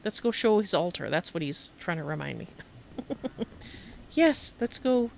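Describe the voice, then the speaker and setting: Creaky-voiced woman giving casual game commentary. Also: on the low side, very animated, unhurried, darkish tone, slight background noise. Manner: rambling, thinking aloud. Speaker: streamer hosting a video game tour.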